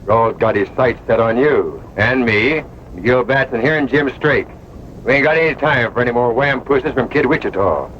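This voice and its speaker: Actor as blunt, threatening cowhand, muffled radio. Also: male voice, mid-range voice, moderate pace, close to an everyday voice. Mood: hard, threatening. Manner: blunt, menacing Western talk. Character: hard, demanding, threatening cowhand, male. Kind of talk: old-time radio western acting. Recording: muffled old radio broadcast, faint noise.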